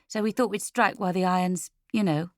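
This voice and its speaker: A woman's voice: fairly low pitch, steady tone, brisk, dry recording, studio quality, pitched above the performer's own voice, close to an everyday voice. A woman voicing a hot-tempered, defensive, loyal adult daughter in an audiobook, heated and insistent.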